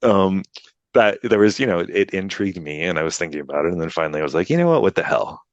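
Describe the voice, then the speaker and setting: American man in his fifties, very low voice, chatty and meandering, interview guest on a video call, dry close audio. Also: sing-song, fast, no room sound, muffled recording. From United States. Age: 50s. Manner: rambling, filler-heavy, frequent "you know" and "right?". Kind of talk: casual storytelling interview. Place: Poland, remote interview.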